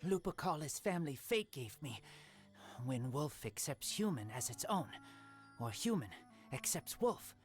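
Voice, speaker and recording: An actress voicing a guarded, earnest young wolf boy in a video game, in terse, halting broken sentences. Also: low voice, sing-song, faint music behind. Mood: solemn. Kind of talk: video game character dialogue.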